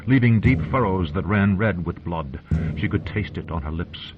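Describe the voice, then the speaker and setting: A man's voice: low-pitched, lively intonation, moderate pace, phone-quality sound, noisy background. A man narrating an audiobook as dramatic scripted storytelling. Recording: loud music behind narration. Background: loud music.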